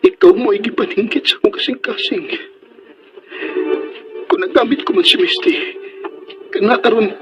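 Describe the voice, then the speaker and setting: Lovestruck suitor, high male voice, pleading melodrama, dull radio recording, music underneath. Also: animated, normal speed, pauses often. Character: lovestruck, pleading man insisting he is her lost sweetheart. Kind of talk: radio drama acting.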